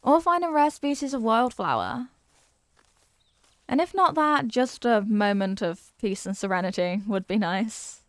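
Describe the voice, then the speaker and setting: Woman acting a sweet, wistful childhood friend, higher-pitched, close-up. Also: animated, a little slow, no room sound. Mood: warm, eager. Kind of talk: scripted ASMR roleplay spoken to the listener.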